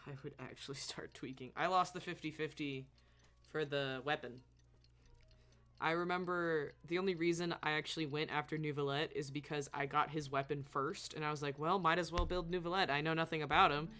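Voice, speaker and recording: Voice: mid-range voice, fairly even intonation, brisk, bright, forceful tone. A streamer giving informal, off-the-cuff commentary while playing a video game. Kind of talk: casual game livestream commentary.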